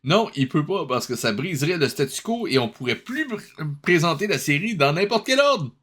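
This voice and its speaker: A man's voice: a little high, sing-song, normal speed, fairly bright tone, soft background music. A man with a Québécois accent giving casual, mocking, incredulous commentary as host of a cartoon review.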